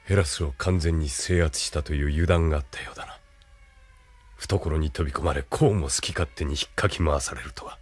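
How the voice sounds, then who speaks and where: An actor playing a stern, ruthless military colonel in an audio drama, with a cold, measured, commanding delivery. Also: male voice, a bass voice, slightly rough. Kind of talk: Japanese audio drama acting.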